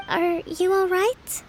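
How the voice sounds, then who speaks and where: A woman playing a gentle, composed young island leader in an anime game, polite and measured. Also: very high-pitched, exaggerated voice. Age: young adult. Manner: polite, measured, soft-spoken.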